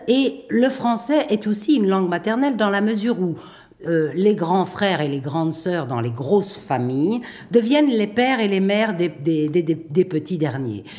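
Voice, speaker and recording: A middle-aged woman, speaking thoughtfully in a radio interview, pausing and restarting often. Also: lowish voice, darkish tone. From Belgium. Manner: thoughtful, hesitant with many pauses and restarts. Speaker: playwright and performer.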